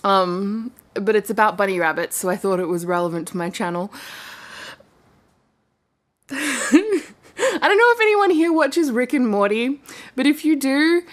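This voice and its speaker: Playful, high-voiced woman hosting storytime. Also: lively intonation, unhurried, pauses often. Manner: giggly, playful. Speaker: host of a story-reading channel. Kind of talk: casual storytelling to camera.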